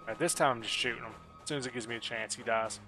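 A man's voice: mid-range voice, fairly even intonation, rapid-fire, gravelly. A man giving casual, jokey, sometimes sweary let's play commentary as he plays. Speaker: gaming video host. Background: game music.

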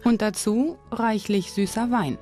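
A woman reads scripted documentary narration in a presenter's role. Her voice sits at an ordinary middle pitch for a woman, with music playing softly behind it. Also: a little slow.

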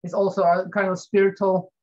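A woman's voice: mid-range voice, level tone, brisk, dark tone, muffled recording. A woman in her fifties from Norway, a non-native English speaker, talking hesitantly with many fillers as an interview guest. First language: Norwegian. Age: 50s.

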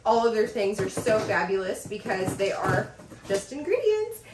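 A woman's voice: medium pitch, big pitch swings, moderate pace, recorded from a distance, background noise. Bubbly woman vlogging in a roomy office. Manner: bubbly and gushing, lots of fillers. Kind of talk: casual vlog chat, unboxing packages. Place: home office, indoors. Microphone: camera across a desk. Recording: roomy sound from off the mic.

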